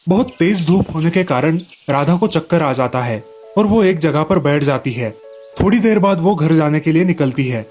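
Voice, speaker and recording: Higher-pitched man narrating children's stories. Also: expressive, normal speed, darkish tone, soft background music.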